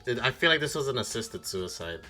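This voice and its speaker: Bright-voiced man thinking aloud over game music. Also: mid-range voice, big pitch swings, fairly quick. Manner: speculative, blunt, swears casually. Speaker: streamer playing a mystery game. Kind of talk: casual game commentary with friends, reasoning aloud.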